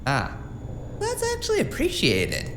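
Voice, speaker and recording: A wry, rambling office drone voiced by a man with a very lively, swinging intonation. He stays chatty and unflappable in this audio drama, in an echoey space over noisy ambience. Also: medium pitch, unhurried. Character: chatty, unflappable wry male office worker named Guy. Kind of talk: noir audio drama dialogue. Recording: roomy echo, clear background ambience noise.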